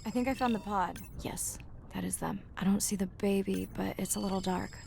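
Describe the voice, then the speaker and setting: An actress playing a dedicated young woman ocean scientist and diver in a video game, calm and matter-of-fact. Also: medium pitch, a little fast, creaky, noisy background. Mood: quiet, focused. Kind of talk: naturalistic game voice acting.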